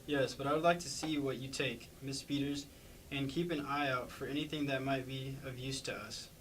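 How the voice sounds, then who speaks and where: A man's voice: medium pitch, steady tone, moderate pace, a bit bright, lightly acted. Man reading as a patronizing attorney, slightly distant. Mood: probing, businesslike. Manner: patronizing, probing. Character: condescending, sarcastic county attorney investigating a murder. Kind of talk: amateur staged play reading. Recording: distant mic with faint background noise.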